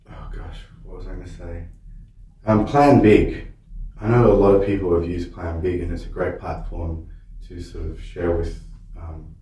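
Male podcast host, low mellow voice, halting questions, distant in a reverberant room. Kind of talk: conversational interview. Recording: very echoey room, off mic.

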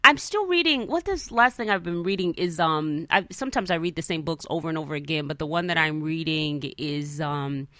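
Bright-voiced woman hosting a talk show. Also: sits low, fairly quick, hardly any pauses, no room sound, clean studio sound. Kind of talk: conversational radio talk show.

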